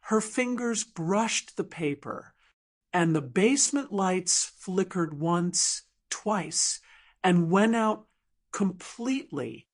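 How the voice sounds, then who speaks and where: A woman's voice: on the low side, expressive, slow pace. A woman reading scripted dramatic fiction as an audiobook narrator.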